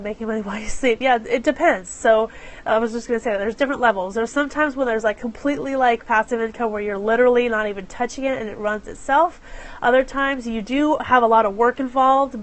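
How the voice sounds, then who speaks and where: A woman with a fairly high voice, congested and stuffed up from a cold. She chats casually into her phone on a live stream, apologizing often and greeting viewers by name. Her delivery is loose and conversational. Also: brisk. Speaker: live stream host for small business owners. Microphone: phone camera.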